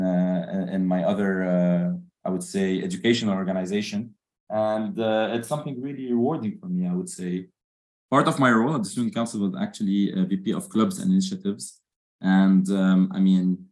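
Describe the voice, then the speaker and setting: Deep-voiced man, halting. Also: a little mellow. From Lebanon. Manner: hesitant, many fillers and "I would say". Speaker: MBA student council member. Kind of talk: interview answers.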